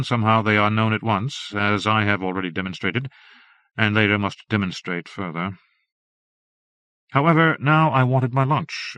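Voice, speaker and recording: Man narrating a horror story, formal, lowish pitch, dry close sound. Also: sharp tone, dry recording. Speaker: audiobook narrator. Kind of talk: audiobook reading of a period horror story.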